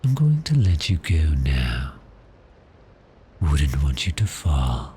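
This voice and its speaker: A man voicing a suave, teasing vampire in an ASMR roleplay, speaking in a breathy, seductive half-whisper with polite menace. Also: rumbling low, huge pitch swings, slow pace, warm tone, slight background noise, heavily acted. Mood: soothing, commanding. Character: cheerful predatory male vampire, suave and teasing. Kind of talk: ASMR roleplay, slow intimate monologue.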